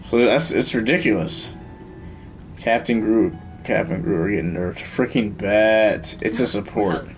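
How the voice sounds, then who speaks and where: A man's voice: on the low side, a little slow. A man chatting casually as a game streamer, slangy and laid-back. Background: faint game music.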